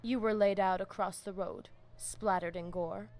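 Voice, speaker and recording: A woman's voice: mid-range voice, steady tone, a little slow, a little mellow, lightly acted. Woman as solemn vampire pack leader. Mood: grave, probing. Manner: grave, formal, stately phrasing. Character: solemn Spanish-speaking female vampire pack leader, fierce and loyal. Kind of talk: dramatic video game acting. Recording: clear background ambience.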